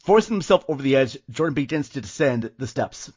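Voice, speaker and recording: A man's voice: mid-range voice, animated, fast, a little mellow, dull audio, slight background noise. A man hosting and narrating an audio drama from a script in a dramatic style. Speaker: podcast host and narrator.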